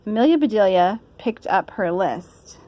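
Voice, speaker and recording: A woman's voice: medium pitch, animated, measured pace, warm tone, slight background noise. A woman reading a children's story aloud in an informal way. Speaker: storybook reader.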